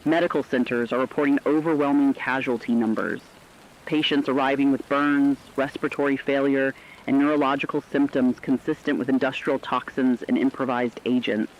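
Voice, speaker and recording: A man's voice: mid-range voice, steady tone, fairly quick, close to an everyday voice. A man playing a somber anchor on muffled radio. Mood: somber, urgent. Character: somber radio news anchor reporting a collapsing war. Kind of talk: scripted fictional emergency news broadcast. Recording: dull lo-fi radio sound.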